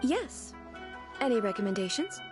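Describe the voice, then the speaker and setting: Dignified, curious ruler over clear music. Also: female voice, on the high side, big pitch swings. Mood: composed, reassuring. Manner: formal, measured, articulate. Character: dignified, curious female ruler, earnest and literal-minded. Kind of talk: video game voice acting. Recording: music playing behind the voice.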